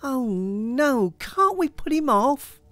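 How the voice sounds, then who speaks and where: A man's voice: very high-pitched, very animated, slow, sharp tone, no room sound, soft background music, pitched above the performer's own voice, full character voice. A man reading aloud and voicing an anxious, indignant housewife who protests at unwelcome guests. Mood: dismayed. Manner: exasperated, protesting.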